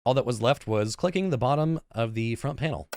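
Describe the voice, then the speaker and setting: A man's voice: mid-range voice, expressive, brisk, a little mellow, no echo, clean studio sound. A man in his thirties reading visual novel narration aloud, informally, stumbling over words and adding casual comments. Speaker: gaming YouTuber, let's player. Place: indoor room, seated at a computer. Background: game music.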